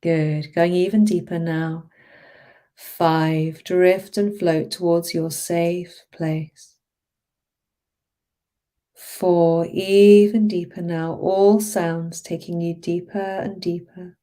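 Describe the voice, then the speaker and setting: A woman speaking as a hypnotherapist, leading a guided relaxation in a soothing, encouraging manner with drawn-out phrases. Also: on the low side, steady tone, warm tone. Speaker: hypnotherapist guiding a relaxation session.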